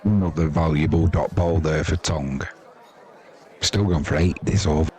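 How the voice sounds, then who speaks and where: A man's voice: rumbling low, normal speed. A man giving casual live cricket commentary as host, with wry, jokey asides. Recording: crowd noise behind the voice.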